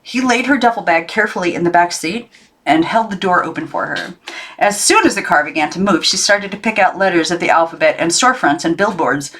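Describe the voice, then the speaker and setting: A woman reading a children's novel aloud as narrator, in an expressive storytelling style. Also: lowish voice, a little fast. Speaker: audiobook narrator.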